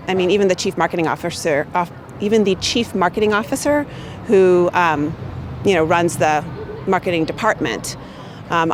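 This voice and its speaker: A loud-voiced American woman talks freely about her workplace in an interview. She circles back and restarts her thoughts, filling gaps with "you know." Faint street noise sits in the background. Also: fairly low pitch. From United States. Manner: chatty, many fillers and restarts. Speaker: corporate marketing manager. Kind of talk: unscripted interview answer.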